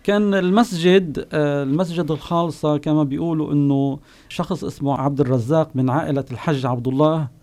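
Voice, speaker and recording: A man with a Palestinian Bedouin accent, a guest recalling his home village, pausing often with long filler sounds. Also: a little high, fairly even intonation, a little mellow. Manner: unhurried storyteller, pauses often with drawn-out "ah" fillers. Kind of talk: reminiscing oral history on a call-in show.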